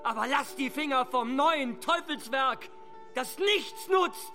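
An actor playing a scornful village rabble-rouser, declaiming sarcastically in a radio drama. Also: male voice, extremely high pitch, animated, slow pace, pushed and bright, faint music behind, full character voice. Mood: accusing.

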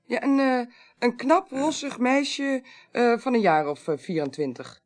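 An actress playing a sharp-minded, pedantic court clerk in a radio play, precise, analytical and assured. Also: female voice, fairly high pitch, lively intonation, measured pace. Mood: inquiring. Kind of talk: 1980s Dutch radio play acting.

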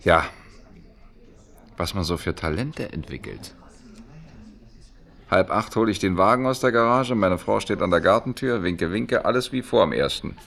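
A man's voice: lowish voice, creaky, fairly bright tone. An actor playing a casual, wry man in a naturalistic radio play, talking in a colloquial, offhand way. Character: casual, wry, sporty man hiding unemployment. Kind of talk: naturalistic radio drama acting.

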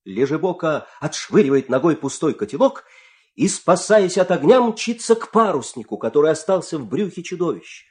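A male storyteller narrating a children's fairy tale. His voice sits slightly high and swings widely in pitch, with theatrical, suspenseful delivery. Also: very animated, normal speed.

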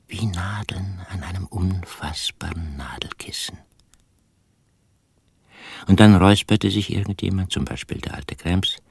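An actor playing an embittered, obsessive poor farmer who narrates a radio play in a hushed, intimate, brooding way. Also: male voice, a bass voice, no echo, heavily acted. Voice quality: husky, hushed. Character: Charlie, embittered poor rural farmer obsessed with his jar. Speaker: first-person narrator.

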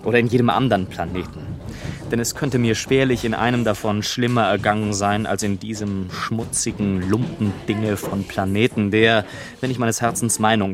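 An actor playing a wry gentleman author who narrates his own life in a mock-solemn, rhetorical manner for a literary radio play. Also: fairly low pitch, lightly acted.

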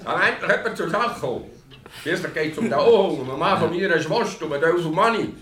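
Ein Schauspieler mit Schweizer Akzent spielt in einem Hörspiel einen groben, spöttischen, ungeduldigen Bauern. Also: male voice, fairly high pitch, expressive, fast talker, roomy sound, a crowd in the background, natural voice. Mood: impatient. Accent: Swiss. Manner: sneering, blunt. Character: coarse, mocking, impatient male village farmer. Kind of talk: radio play acting.

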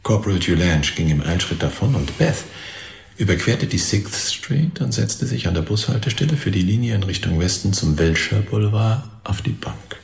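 An actor playing an evasive, self-serving informant under questioning, halting and theatrical, dropping into whispers in a radio drama. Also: male voice, low-pitched, animated, gravelly. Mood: self-serving, insinuating. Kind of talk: radio drama dialogue, confessional account. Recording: roomy, echoing studio sound.

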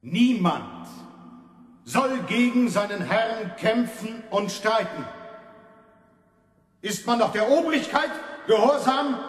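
An actor playing a stern, authoritarian reformation preacher in a German radio play, preaching in an emphatic, didactic way. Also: male voice, very high-pitched. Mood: admonishing. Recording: echoey hall with long reverb.

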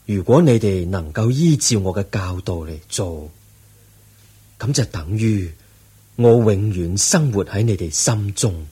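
Aged Buddha, deep, slow and husky. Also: male voice, expressive, warm tone, exaggerated voice. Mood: calm, solemn. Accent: Hong Kong Cantonese. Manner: solemn, measured teaching delivery. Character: aged Buddha, serene, patient teacher nearing death. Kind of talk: Buddhist radio drama acting.

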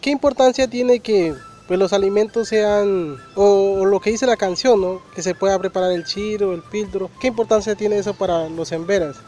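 High-voiced mellow male reporter. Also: moderate pace, faint music behind. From Colombia. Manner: plain, asks questions. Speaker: community reporter interviewer. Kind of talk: community radio interview.

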